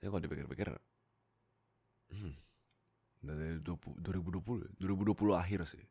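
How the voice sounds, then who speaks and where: Young man, very deep creaky voice. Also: fairly quick, warm tone. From Indonesia. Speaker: YouTube roleplay voice creator. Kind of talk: casual chat to viewers.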